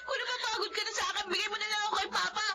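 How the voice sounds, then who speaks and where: A woman's voice: very high voice, steady tone, very fast, loud music behind the voice. A woman playing a defiant, emotional teenage daughter in a Filipino radio drama. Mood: defiant, tearful. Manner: petulant, emotional. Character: defiant, rebellious teenage daughter in school uniform.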